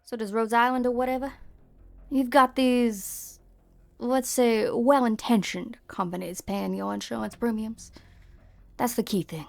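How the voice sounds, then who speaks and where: A woman playing a brusque, sharp-tongued frontier woman in an amateur game fan dub, blunt, slangy and scornful. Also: a little high, fairly even intonation, measured pace, vocal fry, no echo. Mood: challenging.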